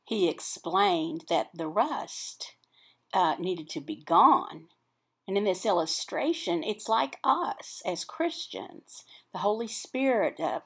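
A woman voicing an interpreted sermon as explanatory teaching, in short, halting phrases with pauses between them. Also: mid-range voice, fairly bright tone, breathy edge.

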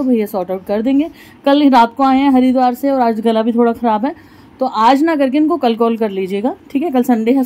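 Mellow-voiced woman shopping vlogger. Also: on the high side. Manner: chatty, addresses viewers as guys. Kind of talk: casual shopping vlog. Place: fabric shop in a market.

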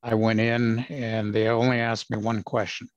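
A man in his sixties, a retired ranger, telling his stories in a measured, plain-spoken way with many fillers. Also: lowish voice, little pitch movement, no echo, highs cut off. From Los Angeles, United States. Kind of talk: casual interview storytelling.

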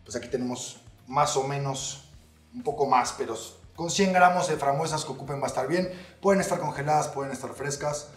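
A man's voice: mid-range voice, fairly quick, reverberant, soft background music. Upbeat man hosting a cooking segment. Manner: upbeat, playful, addressing viewers directly. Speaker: actor presenting a recipe.

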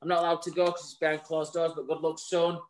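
A man in his forties co-hosts a chat show from home over a video call. His voice sits a bit high, and his talk is chatty and jokey, with loose banter and the odd swear word. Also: level tone, fast talker. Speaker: co-host. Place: home room with virtual bar backdrop. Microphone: video call webcam.

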